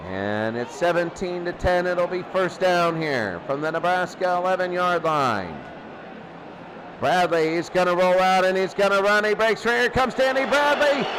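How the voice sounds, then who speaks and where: A man doing excitable play-by-play football commentary in a radio style, often repeating key phrases. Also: high voice, noisy background.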